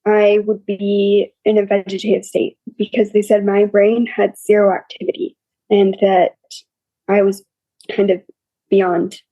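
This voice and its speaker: A young woman with a scratchy, quiet voice, openly telling her story as an interview guest in a plain, matter-of-fact way. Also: mid-range voice, monotone, measured pace, no room sound, lo-fi sound. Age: young adult. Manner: open and matter-of-fact, listing hardships plainly. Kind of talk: candid personal storytelling.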